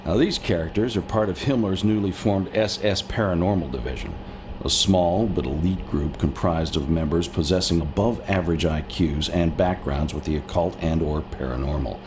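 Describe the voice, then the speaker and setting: An actor playing a blunt intelligence officer giving a businesslike wartime briefing in a video game. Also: male voice, sits low, normal speed, background noise, close to an everyday voice. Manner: businesslike, deferential, plain-spoken.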